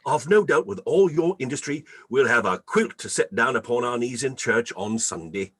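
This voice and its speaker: A man playing a tender, grief-stricken father in a radio play, halting and emotional. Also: mid-range voice, animated, slightly rough. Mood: forced cheer.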